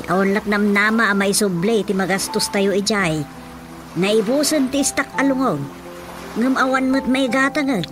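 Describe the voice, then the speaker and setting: A woman's voice: mid-range voice, lightly acted. A woman playing a fretful, complaining mother of a grown daughter in a radio drama comedy, grumbling in an Ilocano accent. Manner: exasperated, grumbling. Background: music.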